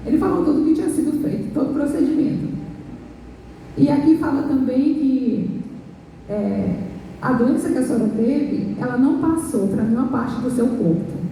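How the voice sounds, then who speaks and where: Hoarse Brazilian woman testifying in church. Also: high voice, expressive, warm tone. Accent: Brazilian Portuguese. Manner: earnest, halting retelling with restarts. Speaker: pastor and worship minister. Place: large church hall. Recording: echoey church hall, distant mic, faint noise.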